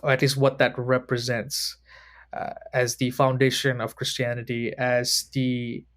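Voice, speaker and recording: A man in his thirties from Sri Lanka, a podcast guest who speaks in a measured, thoughtful way and slows down to choose his words. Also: mid-range voice, completely flat delivery, fairly bright tone. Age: 30s. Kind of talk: reflective conversation.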